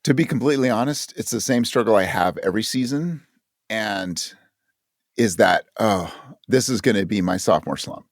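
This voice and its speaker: A man's voice: on the low side, normal speed, dry recording, studio quality. A man speaking as a writer guest in a casual interview, candid and thinking aloud, often acting out his own thoughts as quotes. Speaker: guest audio drama writer.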